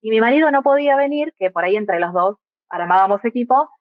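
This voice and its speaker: A young woman from Argentina with a clear Argentine accent talks through her ideas in a reflective way, often checking in with small tag questions. Her voice comes over a tinny, phone-like line. The sound is dry, with almost no room around it. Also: fairly high pitch, expressive, quick pace, no echo. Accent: Argentine Spanish. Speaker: guest, migrant mother. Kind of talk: reflective podcast conversation.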